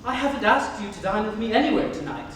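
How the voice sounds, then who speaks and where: A teenage male student playing an earnest, evasive and easily indignant young Victorian gentleman in a formal, theatrical dramatic reading. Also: high voice, lively intonation, quick pace. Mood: baffled. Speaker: student actor. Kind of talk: dramatic stage reading of a comedy. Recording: far from mic, long hall echo, noise.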